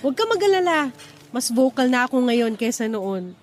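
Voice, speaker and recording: A woman's voice: high voice, very animated, moderate pace, faint music behind. An actress playing an independent, frank young single woman in radio romance drama, speaking conversational Taglish in a reflective, assertive way.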